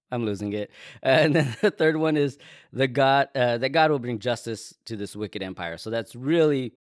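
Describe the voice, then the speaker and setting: A man's voice: medium pitch, dry recording. A man co-hosting a casual Bible podcast, chatting with self-deprecating jokes and frequent laughs into a close microphone. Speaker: podcast co-host. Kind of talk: casual chat about the Bible.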